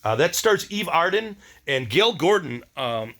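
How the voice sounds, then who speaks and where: A man's voice: a little high, very animated, a little slow, a bit bright. A man giving a casual, rambling diary-style monologue, enthusiastic and informal, with some slang. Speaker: video diary host.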